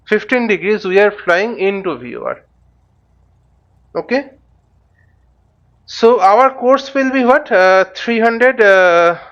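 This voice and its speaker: A man giving an unscripted step-by-step tutorial as an instructor, halting and thinking aloud, often posing questions and answering them himself. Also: high-pitched, a bit bright, highs cut off. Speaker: flight simulator instructor. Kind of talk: unscripted tutorial with live demonstration. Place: voice-over recorded while using a flight simulator.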